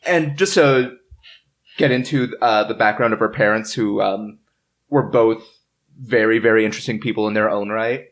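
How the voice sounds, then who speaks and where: A man's voice: lowish voice, moderate pace, fairly bright tone. A man hosting a podcast, chatting casually as he reads from notes, with wry, joking asides. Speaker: podcast host. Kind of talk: casual informative chat, reading from notes. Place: in-person group around a table.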